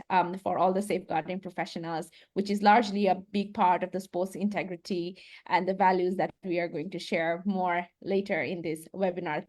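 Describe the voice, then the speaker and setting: A woman from Bangladesh introduces herself on a webinar panel in a composed, formal manner. Her voice is mid-pitched and comes through a video call. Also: darkish tone. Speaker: panelist, barrister.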